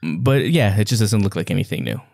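A man chatting casually as a podcast co-host, in hesitant, halting phrases. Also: fairly low pitch, expressive, creaky, darkish tone, no echo, clean studio sound. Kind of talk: casual chat.

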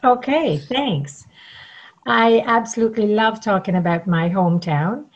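A woman's voice: mid-range voice, lively intonation, unhurried, highs cut off. A middle-aged woman from Trinidad and Tobago, telling her story conversationally as an interview guest. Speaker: interview guest, networking coach.

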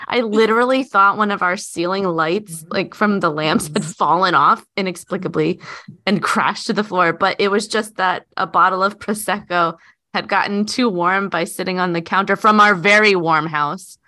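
Bright-voiced woman telling wry anecdotes. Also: fairly low pitch, normal speed. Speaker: podcast team member. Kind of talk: casual anecdote in group chat.

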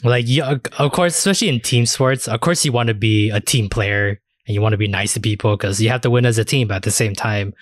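A man's voice: on the low side, fairly quick, hardly any pauses, no room sound, studio quality. A man hosting a casual anime discussion, talking in a rambling, slangy way with casual swearing. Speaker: podcast host.

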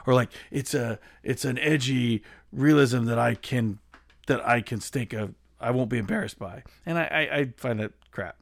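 A man's voice: mid-range voice, normal speed, creaky, no echo, studio quality. A man co-hosting a podcast, stammering and repeating words as he gives strong opinions on films. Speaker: podcast co-host. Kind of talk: casual film discussion.

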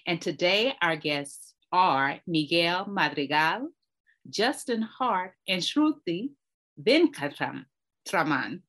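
A woman with a low voice hosts a podcast. She reads guest introductions and poses questions in a measured, unhurried way. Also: animated, fairly bright tone. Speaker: podcast host. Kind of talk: reading guest introductions, then interviewing.

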